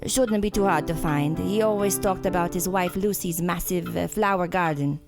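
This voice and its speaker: A genteel old-fashioned nun played by a woman in an audio drama, with a gravelly creak in the voice. The speech is formal, measured and theatrical, with music playing behind. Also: fairly low pitch. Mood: wistful. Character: genteel, sorrowful nun, former orphanage headmistress.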